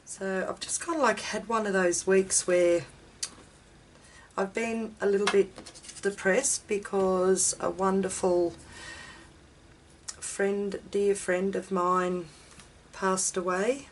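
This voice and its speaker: An Australian woman chats her way slowly through a craft demonstration, her voice a little raspy with a chest infection. She rambles and talks to herself, poking fun at herself as she goes. A faint noise sits behind her voice. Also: mid-range voice, flat delivery, low-quality recording. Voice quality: slightly raspy. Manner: self-talking, rambling, self-deprecating. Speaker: craft video host. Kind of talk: casual chatty craft demonstration.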